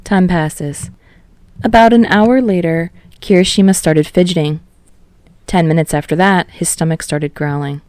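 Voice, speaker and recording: A woman narrates a story in a low voice. It is an audiobook read, recorded close and dry with no room sound. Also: unhurried, a little mellow, no room sound. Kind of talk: scripted fiction narration.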